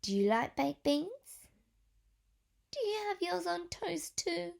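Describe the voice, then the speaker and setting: Slow expressive teacher reading to children. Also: female voice, very high voice, creaky. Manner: slow, expressive, with gentle whispered asides. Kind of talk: storybook read-aloud with questions to children.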